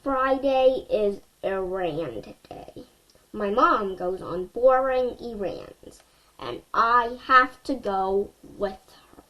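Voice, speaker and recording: A woman reading a children's story aloud expressively and deliberately, as if to young listeners. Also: medium pitch, lively intonation, highs cut off.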